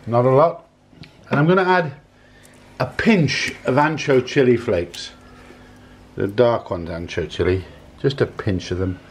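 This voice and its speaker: A man in his sixties giving a casual, unhurried cooking demonstration in a home kitchen, often repeating his phrases as he goes. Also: mid-range voice. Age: 60s. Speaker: home cook, presenter. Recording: clattering dishes, clicks, kitchen noise.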